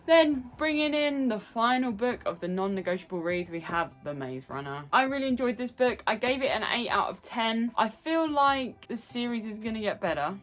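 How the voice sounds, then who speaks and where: A woman with a fairly deep voice talks casually to camera like a review vlogger. She is emphatic and effusive, repeating little phrases to drive a point home. Soft music plays faintly in the background.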